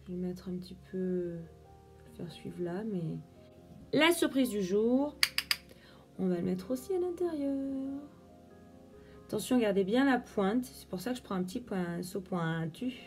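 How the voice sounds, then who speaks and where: A woman's voice: mid-range voice, animated, unhurried, pauses often, darkish tone, soft background music. A young woman chatting through a makeup tutorial, explaining each step as she works. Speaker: beauty vlogger.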